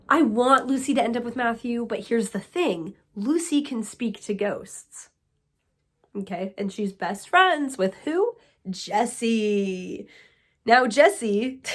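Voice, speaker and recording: A young woman chatting excitedly to camera as a book vlogger, sounding a little nasal from a cold. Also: on the high side. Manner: excited, chatty, talks to viewers as friends. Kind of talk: casual chat to camera.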